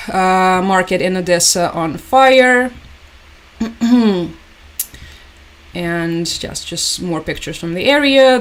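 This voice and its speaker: A woman hosts a live stream in a resigned, somber way. Her first language is Russian, and she talks through the news in English, stopping and restarting often. She breaks off now and then to thank people in her chat. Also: fairly low pitch. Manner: somber, hesitant, many fillers and restarts; resigned, repeats "unfortunately" and "as always". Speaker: livestream host. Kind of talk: live commentary while reading chat.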